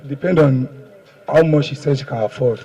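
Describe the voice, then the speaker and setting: A man with a Nigerian accent and a husky voice, giving deferential, guarded answers as a suspect under questioning. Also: mid-range voice, a little fast, warm tone. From Ekiti State, Nigeria. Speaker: paraded fraud suspect being questioned. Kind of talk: public confession under questioning. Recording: dull, muffled audio.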